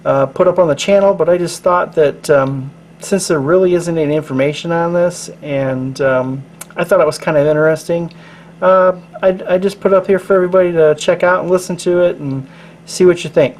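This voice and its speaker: A man hosting his channel, explaining things casually in a halting, low-key, rambling way. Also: a little mellow. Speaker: channel host. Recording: noticeable background noise.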